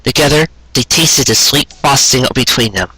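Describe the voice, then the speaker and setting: A man narrates a romantic tale with earnest drama. His voice is bright and forward with a husky grain, recorded dry and close. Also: mid-range voice, normal speed, dry recording, poor audio. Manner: earnest, dramatic storytelling delivery. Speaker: storyteller reading fan fiction aloud. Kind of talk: scripted narration of a sentimental romance story.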